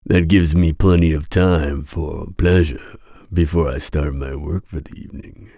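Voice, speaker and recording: A man playing a masked villain mercenary in an ASMR roleplay, speaking in a formal, deliberate, seductive and menacing way. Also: very deep voice, steady tone, gravelly, mellow, no echo, studio quality, full character voice. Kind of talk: ASMR roleplay audio drama.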